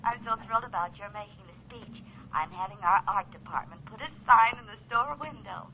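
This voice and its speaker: A woman's voice: mid-range voice, a little fast, close to an everyday voice. An actress playing a coy, effusive young lady on the telephone in old radio comedy. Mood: gushing. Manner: effusive, coaxing. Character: gushing, coy, persistent young lady caller. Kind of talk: 1940s radio comedy acting. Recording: muffled, noisy old radio, telephone scene.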